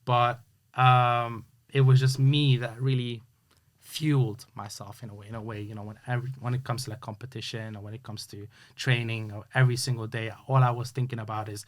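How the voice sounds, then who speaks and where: A man talks through his story as an interview guest. His voice sits at an ordinary middle pitch. He speaks earnestly and halts often, with plenty of fillers and restarts. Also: little pitch movement, fairly quick. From Hungary. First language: Hungarian. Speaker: guest, youth sports coach. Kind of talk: casual interview chat.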